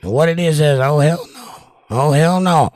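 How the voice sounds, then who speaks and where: A man's voice: on the high side, moderate pace, a little mellow, no echo, clean studio sound. An older man, casually reading viewer comments aloud on his livestream and answering them. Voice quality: voice worn from years of trouble. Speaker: livestream host reading chat.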